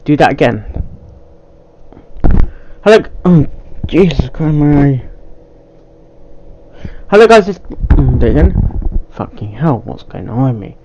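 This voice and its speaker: A man hosting a casual, unscripted reaction video, speaking haltingly and often stumbling, repeating and restarting his phrases. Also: mid-range voice, big pitch swings, warm tone, dull audio, a little hiss or hum.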